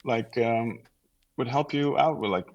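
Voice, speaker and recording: A man's voice: mid-range voice, a little slow, muffled recording. A man in his thirties from the Netherlands, a native Dutch speaker with a near-American accent, chatting informally as an interview guest and thinking aloud. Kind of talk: casual interview chat.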